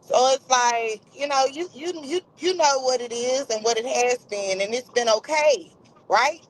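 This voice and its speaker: A woman's voice: fairly high pitch, measured pace, slight background noise, poor audio. A woman guest on a livestream giving her opinion emphatically, repeating phrases and restarting often. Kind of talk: casual opinion chat.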